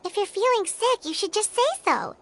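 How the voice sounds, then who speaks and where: A woman voicing a tiny, chatty childlike female companion in a game, who talks in an excitable, blunt way. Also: extremely high pitch, fairly quick, no echo, exaggerated voice. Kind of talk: game voice acting.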